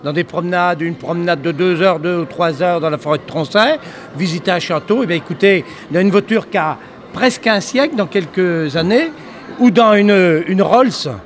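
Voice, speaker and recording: Older male exhibitor, measured sales talk, notably high voice, a faint hiss behind. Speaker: vintage car rental owner. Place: indoor exhibition hall. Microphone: handheld mic.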